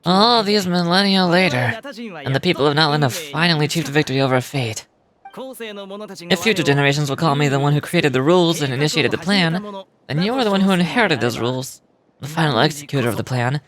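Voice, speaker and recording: An actor voicing a revived ancient ruler in a boy's body, wry and easygoing, in dramatic video game dialogue with a deliberate delivery. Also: mid-range voice, lively intonation, a crowd in the background, natural voice. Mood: solemn, admiring. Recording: game music behind the voice.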